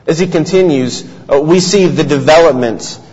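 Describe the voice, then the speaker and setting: Pastor preaching in a reverberant hall. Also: male voice, a little high, darkish tone, breathy edge. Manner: storytelling, measured and earnest. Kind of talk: sermon. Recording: dull sound, long hall reverb.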